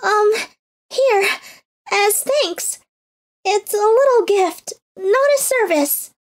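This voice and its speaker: A woman's voice: very high voice, lively intonation, takes it slowly, exaggerated voice. A woman voicing an earnest teenage girl in a dubbed manga story. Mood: shy, earnest. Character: earnest shy teenage high school girl, later playful young bride. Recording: music under the voice.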